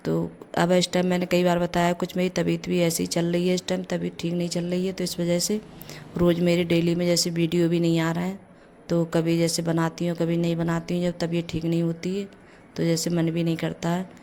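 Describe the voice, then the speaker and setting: A middle-aged mother with a hoarse voice from a cold, chatting through a casual home vlog as she cooks breakfast and goes on with her housework, often saying "jaise" between her words. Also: fairly low pitch, monotone, brisk, warm tone, slight background noise. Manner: chatty, leans on the filler "jaise". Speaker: vlogger, mother. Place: home kitchen.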